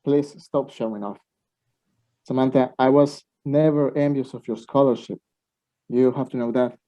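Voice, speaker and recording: A man's voice: mid-range voice, fairly even intonation, normal speed, warm tone, close to an everyday voice. A man playing a baffled, sarcastic young student in a radio play, sounding incredulous. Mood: irritated. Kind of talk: amateur radio play acting. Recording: dull, muffled lo-fi microphone.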